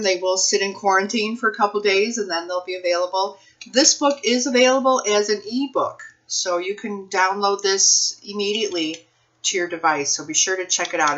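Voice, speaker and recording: A woman speaking as a children's librarian at a read-aloud storytime, expressive and addressing young children and families. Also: mid-range voice, muffled recording. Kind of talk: picture book read-aloud and talk to families.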